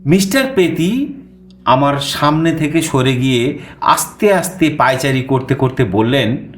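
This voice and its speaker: A man narrating a suspense story in the first person, theatrical, with dramatic pauses. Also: mid-range voice, expressive. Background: music.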